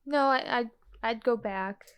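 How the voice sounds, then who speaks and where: A woman's voice: on the high side, measured pace, clean tone, highs cut off. A woman playing a tabletop roleplaying game, chatting casually in and out of her character.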